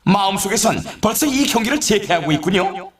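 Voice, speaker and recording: Echoey high-pitched excitable race announcer. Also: male voice, brisk, crowd noise. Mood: dramatic, eager. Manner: dramatic, rhetorical, showy. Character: excitable male snowboard race announcer, dramatic and eager. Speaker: sports announcer. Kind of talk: scripted sports commentary. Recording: roomy echo, distant from mic.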